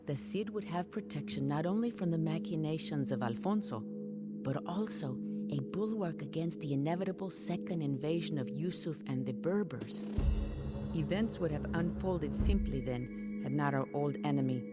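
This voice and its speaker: A low-pitched actress voicing a medieval noblewoman narrates a game cutscene like a storybook. She is solemn and measured, recounting her knight husband's saga. Loud music plays behind her. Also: natural voice. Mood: grave.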